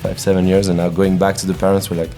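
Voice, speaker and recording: A young man talks casually in an interview. His voice sits very low, and faint music plays behind him. He comes across as a musician chatting about his work. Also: level tone, fast talker, warm tone. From south of France. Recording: music playing behind the talk.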